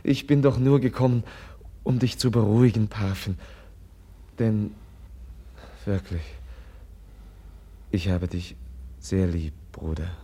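An actor playing a gentle, compassionate young man in a 1950s German radio drama, speaking earnestly and softly, as if pleading. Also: fairly low pitch, fairly even intonation, slow pace, pauses often. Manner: earnest, soft-spoken and pleading.

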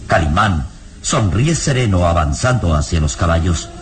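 A man narrating an adventure radio serial in a solemn, deliberate, dramatic manner. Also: low-pitched, lively intonation, a little hiss or hum. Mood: solemn suspense. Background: prominent music.

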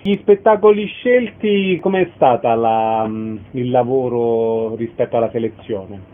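A man's voice: medium pitch, unhurried. A man hosting a radio interview, heard through a narrow, phone-like radio sound.

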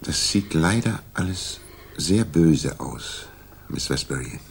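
An actor playing a calm, probing gentleman detective with measured, formal courtesy and a husky voice in a 1960s German radio crime drama. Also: a bass voice, exaggerated voice. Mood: probing, earnest.

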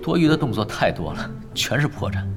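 Lazy, mocking gamer captain for a radio play; lively swoops, background music. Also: male voice, lowish voice, fairly quick. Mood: teasing. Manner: lazy, mocking trash talk with soft chuckles. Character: laid-back veteran male pro gamer, sly, teasing. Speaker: team captain. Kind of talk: studio radio drama acting.

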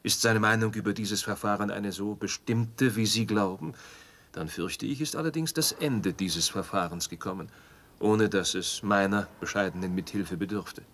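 An actor playing a reserved, modest traveller in a German radio drama, speaking politely in a measured, formal, restrained way. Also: male voice, fairly low pitch, a bit bright. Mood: reserved, cautious.

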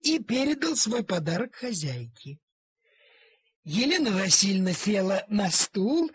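A man's voice: high voice, slightly rough. A man narrating a story expressively, in a theatrical way, drawing out key words.

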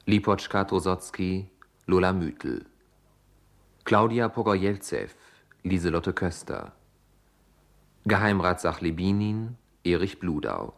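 A man's voice: low voice, sing-song, takes it slowly, creaky, long pauses. A man reading scripted credits formally as a radio announcer.

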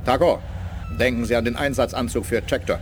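A man's voice: medium pitch, fairly even intonation, fairly quick, lightly acted. Male actor as tense military space pilot, clipped commands, mid register, noisy craft ambience, audio drama. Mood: brisk, alert. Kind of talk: 1980s science fiction radio play acting.

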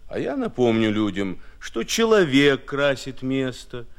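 Male painter for period radio drama: medium pitch, earnest, respectful, formal and persistent. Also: sing-song, measured pace. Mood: earnest, persuasive. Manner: courteous, formal, declamatory old-fashioned phrasing. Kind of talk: Soviet radio play acting.

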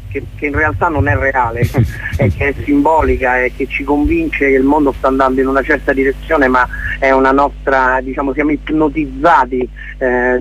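A man's voice: medium pitch, a bit bright, a little hiss or hum. A man being interviewed casually as a theatre guest, searching for his words as he explains things. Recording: dull lo-fi radio sound.

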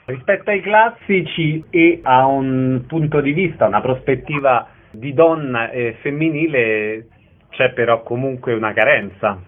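A tinny, narrow-band recording carries a man with a medium-pitched voice. He is a radio interviewer, putting questions to a guest. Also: unhurried. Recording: tinny, narrow phone-line sound.

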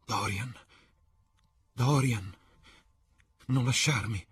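Man playing a pleading young woman, raised pitch. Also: darkish tone, slightly rough. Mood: desperate pleading. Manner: murmuring, pleading, halting. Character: young woman, heartbroken actress, pleading and devoted.

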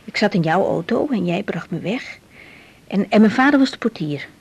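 Husky, troubled young woman doctor. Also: medium pitch, expressive, darkish tone. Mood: uneasy, confiding. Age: young adult. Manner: hesitant, halting, repeating words. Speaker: actor in a radio drama. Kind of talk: naturalistic 1980s radio drama acting.

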